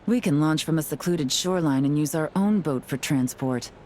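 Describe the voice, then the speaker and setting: A woman playing a composed, shrewd young captain in a video game, speaking in a cool, measured, businesslike way. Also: deep voice, a little mellow, slight background noise, lightly acted.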